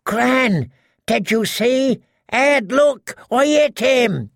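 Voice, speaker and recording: A man voicing a timid, fearful young recruit in a high, shaky voice. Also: takes it slowly, no echo, studio quality, exaggerated voice. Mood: excited. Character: frightened, timid fat boy recruit.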